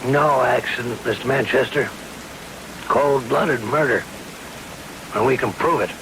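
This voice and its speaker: A man acts a stern, unshakable police lieutenant in an old radio play. He has a medium-pitched voice and speaks gravely and deliberately over faint hiss. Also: a bit bright, lightly acted, poor audio. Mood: grave, certain. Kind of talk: 1970s radio drama acting.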